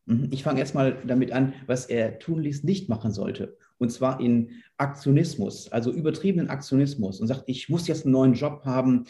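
A man's voice: medium pitch, moderate pace, few pauses, mellow, reverberant. A man answering as a podcast guest in a conversational interview style. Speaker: podcast guest, managing director.

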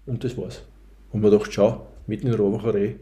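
A hoarse Austrian man chats casually, his tone dark and mellow. He rambles, doubles back and restarts his sentences as he tells stories. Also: on the low side, flat delivery, fairly quick. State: hoarse from a cold. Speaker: podcast co-host. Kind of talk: casual chat.

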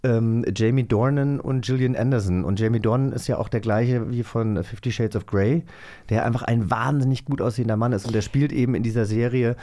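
A man's voice: mid-range voice, brisk, warm tone. A man chatting casually and interviewing as a podcast co-host, with a joking, self-mocking manner.

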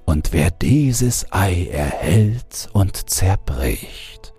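A man voicing a sly, sinister deathless old villain in a fairy tale, with a breathy, gravelly rasp and a slow, menacing delivery. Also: low voice, very animated, warm tone, soft background music, heavily acted. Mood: solemn, confiding.

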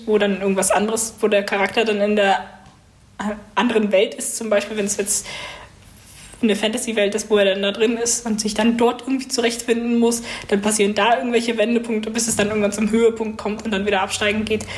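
A woman's voice: medium pitch, flat delivery, fairly quick. A woman in her twenties giving casual, chatty answers as a student being interviewed. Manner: chatty, informal.

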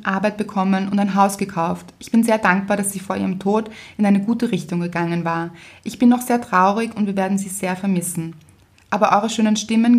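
Female podcast co-host, mid-range voice, informal chat and listener-mail reading. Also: mid-range voice.

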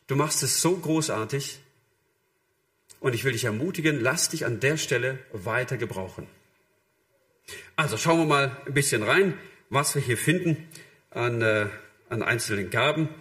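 A man's voice: mid-range voice, lively intonation, normal speed, pauses often, a bit bright. A man preaching a sermon in a conversational, illustrative way, addressing listeners directly and voicing short imagined quotes.

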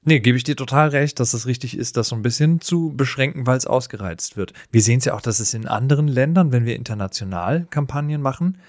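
A man's voice: medium pitch, fast, hardly any pauses, no room sound, studio quality. A man talking through ideas as a podcast co-host in a casual, colloquial, explanatory way. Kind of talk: casual, reflective discussion.

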